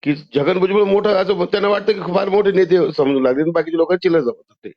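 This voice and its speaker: A man's voice: high voice, quick pace. A man with a Maharashtrian accent on a phone call, arguing insistently and cutting in. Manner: insistent, interrupting. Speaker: caller. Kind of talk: argumentative phone conversation. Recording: muffled lo-fi phone call recording.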